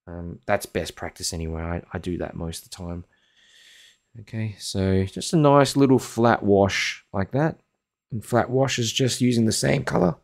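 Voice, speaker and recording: A young man, talking through a watercolor painting step by step in a relaxed, chatty way while he works, his voice a little croaky, recorded indoors at his desk on a webcam mic. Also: fairly low pitch, moderate pace. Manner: relaxed, chatty, thinks aloud while working. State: slightly croaky voice. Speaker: watercolor teacher. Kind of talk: live painting demonstration. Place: home studio, indoors.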